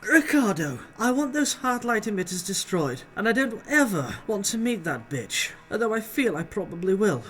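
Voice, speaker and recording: A man's voice: sits high, very animated, normal speed, few pauses, fairly bright tone, soft background music. A man playing a vain, sadistic villain in an audio drama, haughty and commanding. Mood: irritated.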